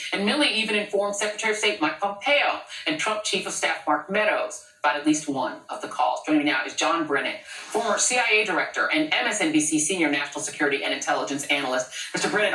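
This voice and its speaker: A woman's voice: lowish voice, bright, forceful tone. A woman anchoring a cable news show, delivering pointed, sarcastic commentary. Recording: roomy sound from a distant mic.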